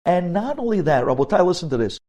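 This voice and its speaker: A man's voice: high voice, big pitch swings, fast, darkish tone. A man speaking as a rabbi giving a religious lecture, emphatic and direct, putting rhetorical questions to his listeners and using Hebrew religious terms. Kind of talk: sermon-like lecture with storytelling and acted-out dialogue.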